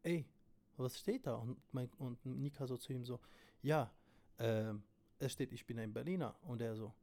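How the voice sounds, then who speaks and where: A man's voice: medium pitch, moderate pace, mellow. A man chatting casually as a podcast guest, in slangy, emphatic street talk with plenty of swearing. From abroad, came to Germany late. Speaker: interview guest, corner shop owner.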